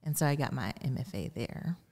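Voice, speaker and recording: Very low, gravelly American woman, roomy sound. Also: expressive, a little fast, mellow. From Houston, Texas, United States. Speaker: art curator, interview guest. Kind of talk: casual conversational interview answers. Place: indoor art gallery. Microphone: close podcast mic on boom arm, headphones. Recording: reverberant gallery room.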